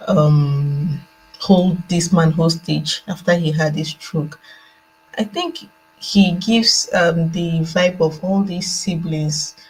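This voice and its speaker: Husky, low-pitched Nigerian woman. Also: darkish tone, poor audio. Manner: hesitant, reflective, repeats phrases for emphasis. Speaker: remote guest on a panel discussion. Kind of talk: unscripted opinion, conversational. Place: indoors at a laptop on a remote online call.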